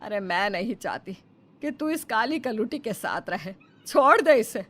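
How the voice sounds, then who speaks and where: A woman's voice: fairly high pitch, expressive, unhurried, a bit bright, a little hiss or hum. A woman voicing a vain, melodramatic old Indian mother-in-law who nags, in a Hindi moral story. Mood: scornful, pleading.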